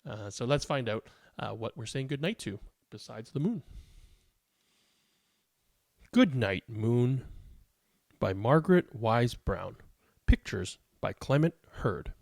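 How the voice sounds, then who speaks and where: Gravelly man hosting children's read-alouds. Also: medium pitch, very animated, a little slow, darkish tone, dry recording, studio quality. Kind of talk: casual chat and bedtime picture book read-aloud.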